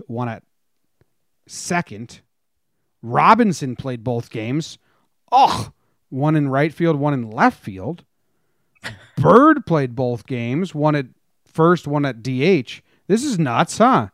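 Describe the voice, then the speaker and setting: A man's voice: mid-range voice, sing-song, slow, no echo, clean studio sound. A man co-hosting a sports podcast, talking casually and informally as a fan. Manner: informal, conversational. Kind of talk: casual chat, fan discussion.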